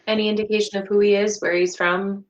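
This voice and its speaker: A woman's voice: mid-range voice, flat delivery, fast talker, lo-fi sound, slight background noise. A woman playing a tabletop roleplaying game, talking casually in character with a questioning, conversational manner.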